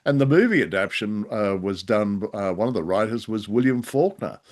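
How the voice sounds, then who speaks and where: A man co-hosting a casual podcast discussion, speaking in a halting, hesitant way with wry, self-deprecating humour. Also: fairly low pitch, animated, a little mellow. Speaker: podcast co-host and freelance writer. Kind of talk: casual conversational discussion of old radio drama.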